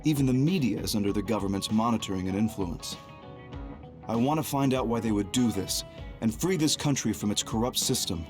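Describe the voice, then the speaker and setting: Actor as a low-voiced, stern military commander. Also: male voice, darkish tone, lightly acted. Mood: grave, resolved. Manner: formal, measured, deliberate. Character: stern, calm male military commander, a scheming reformer. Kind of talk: English-dubbed video game cutscene acting. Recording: music behind the voice.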